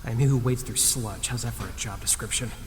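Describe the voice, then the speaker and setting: A man's voice: mid-range voice, a little fast, close to an everyday voice. An actor playing a hapless, self-deprecating museum cleaner in an audio drama, a man who talks in a hesitant, sarcastic, rambling way. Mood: nervous. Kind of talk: naturalistic comedic audio drama acting. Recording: long cavernous reverb with faint noise.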